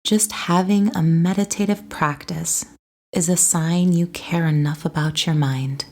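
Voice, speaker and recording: A woman narrating a scripted guided meditation in a soothing, gentle, unhurried way. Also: lowish voice, steady tone.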